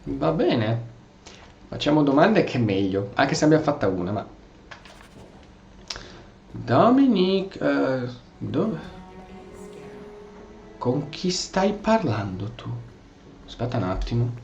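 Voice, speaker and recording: An Italian man giving casual, excitable let's play commentary on a video game and answering the characters in role. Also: mid-range voice, a little slow, vocal fry, pauses often, muffled recording. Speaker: gaming video host. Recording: roomy echo, faint background noise.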